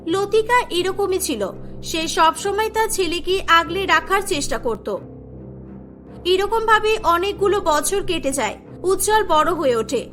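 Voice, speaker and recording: A woman's voice: very high-pitched, animated, a little slow, a bit bright, soft background music. A woman narrating a cartoon story from a script.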